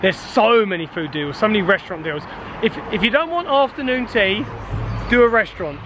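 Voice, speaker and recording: Higher-voiced man, echoey sound. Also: expressive, fairly quick, noisy background. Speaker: family vlogger. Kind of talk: casual vlog chat. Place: busy restaurant, street and living room. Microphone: handheld vlog camera. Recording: echoey, with prominent music behind.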